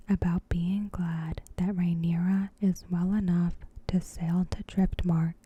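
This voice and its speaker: Female ASMR narrator, whispered and very slow, gentle recap read with flat, even delivery. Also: fairly low pitch. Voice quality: breathy, whispered soft speech. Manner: gentle, unhurried, evenly measured. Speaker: ASMR video host.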